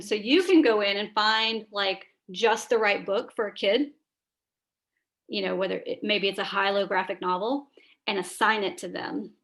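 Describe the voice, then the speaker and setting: A woman's voice: mid-range voice, gravelly, fairly bright tone. A woman with a tired, scratchy voice, chatting through a screen-share training on a video call, with many fillers and restarts. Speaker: school librarian leading teacher training.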